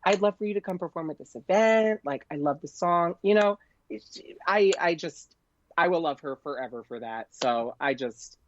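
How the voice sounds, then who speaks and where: A man's voice: fairly high pitch, expressive, moderate pace, a little hiss or hum. A man, a comedian, chatting casually as a podcast guest in a gushing, effusive way, over a dull lo-fi connection. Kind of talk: casual interview chat.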